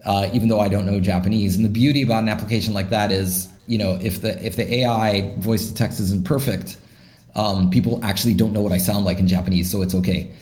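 A man answers interview questions in a slightly low voice, thoughtful and loose, full of "you know" and restarts. His voice carries a roomy echo, as if recorded in an open room. He sounds like a founder talking through his ideas. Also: fairly even intonation, fast, darkish tone. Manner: thoughtful, with many fillers and restarts. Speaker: startup founder, interview guest.

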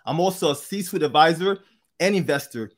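A man's voice: on the high side, lively intonation, normal speed. A man hosting an interview podcast, upbeat and chatty, with frequent fillers and restarts. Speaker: podcast host.

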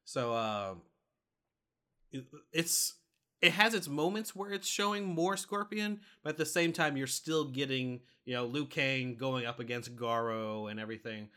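A man co-hosting a podcast, giving a casual review and explaining things in a hesitant way, pausing mid-thought. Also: medium pitch, animated, bright, forceful tone. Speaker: podcast co-host reviewing a film.

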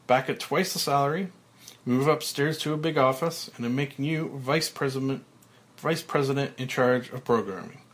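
A man reading aloud and voicing a brash male TV boss, loud, pleading and exclamatory. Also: medium pitch, moderate pace, natural voice. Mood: elated. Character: frantic brash male TV boss facing death. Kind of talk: screenplay read aloud.

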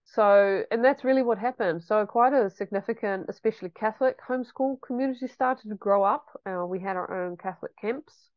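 A woman's voice: mid-range voice, brisk, dry recording, dull audio. A woman, a homeschooling mother chatting reflectively in an interview with frequent ums. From New Zealand. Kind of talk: casual interview chat.

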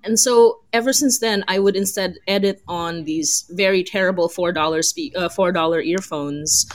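A woman's voice: on the low side, normal speed. A woman hosting a podcast in casual chat, informal and often searching for her words. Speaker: podcast host and interviewer.

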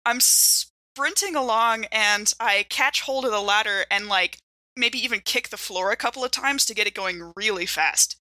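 Bright-voiced woman chatting through a tabletop game. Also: mid-range voice, moderate pace, dry recording. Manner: thinks aloud with frequent "like". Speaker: player in an actual-play podcast. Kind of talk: casual chat, improvised game description.

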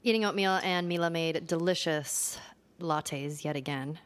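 A woman's voice: sits low, a little slow. A young woman co-hosting a casual podcast, chatting and giggling with a friend. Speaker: podcast co-host. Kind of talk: casual chat.

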